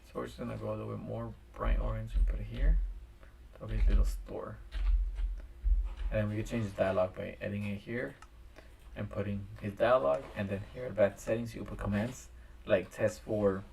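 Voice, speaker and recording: A man with a raspy, tired voice chatting casually and rambling as he builds a game map on a stream, talking into a desk microphone. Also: lowish voice, steady tone, brisk, darkish tone, a little hiss or hum, poor audio. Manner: rambling, thinks aloud with restarts. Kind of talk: casual commentary while building.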